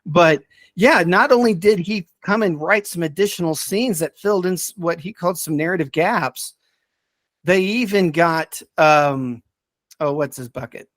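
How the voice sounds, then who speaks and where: A man hosting a casual podcast, talking enthusiastically but haltingly as he searches for names and details. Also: sits high, a bit bright, no room sound, clean studio sound. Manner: enthusiastic about the subject. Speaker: podcast host.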